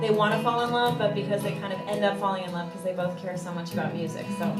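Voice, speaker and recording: A woman's voice: mid-range voice, flat delivery, quick pace, loud music behind the voice. A woman hosting a live cabaret with casual, chatty, joking banter between songs. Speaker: cabaret singer and host. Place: cabaret theatre stage, indoors. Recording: live stage recording, distant mic, roomy, audience noise.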